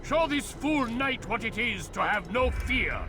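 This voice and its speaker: An actor playing a stern, commanding older master of an assassin order, speaking in grave, formal oratory with music underneath. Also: male voice, extremely high pitch, lively intonation, unhurried. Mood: defiant. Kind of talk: dramatic video game acting.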